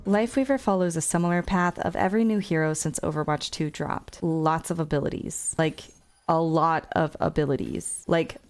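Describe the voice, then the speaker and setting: A woman's voice: sits low, normal speed, darkish tone. A woman narrating a scripted but casual gaming explainer in an informal, wry manner. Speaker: gaming video creator.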